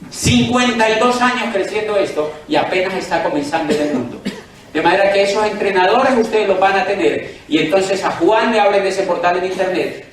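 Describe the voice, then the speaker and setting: A man's voice: high-pitched, distant mic, a little hiss or hum. Persuasive Colombian man in an echoey room. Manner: persuasive, addresses the audience directly with questions. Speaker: business presenter. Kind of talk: sales presentation to a live audience. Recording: echoey room, speaker away from the mic.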